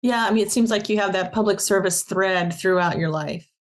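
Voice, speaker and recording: A woman's voice: medium pitch, a little fast. A woman hosting a podcast interview, asking questions and offering brief, encouraging affirmations. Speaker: podcast host.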